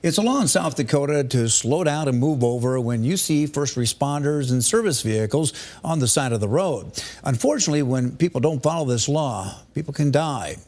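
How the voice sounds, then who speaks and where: A man's voice: medium pitch, expressive, a little fast, a little mellow. An American man reading the news as a television anchor.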